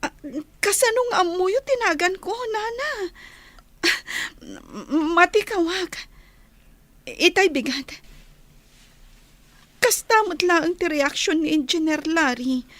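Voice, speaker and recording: A woman's voice: very high voice, takes it slowly, pauses often, fairly bright tone, dry recording, full character voice. A young woman playing an earnest, respectful village girl in a radio drama, in a plaintive, polite manner. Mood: flustered. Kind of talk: Ilocano radio drama acting.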